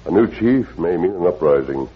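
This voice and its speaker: An actor playing a steady, resolute masked lawman in a 1950s radio western, speaking with deliberate authority. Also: slightly rough. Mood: grave, resolute. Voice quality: very deep voice. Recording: muffled old radio recording with hiss.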